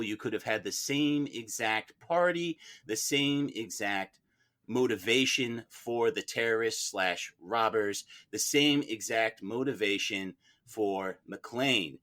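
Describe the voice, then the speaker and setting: A man's voice: fairly low pitch, bright, forceful tone. A man arguing as defense counsel in a mock courtroom debate, argumentative and leaning on repeated phrases for emphasis.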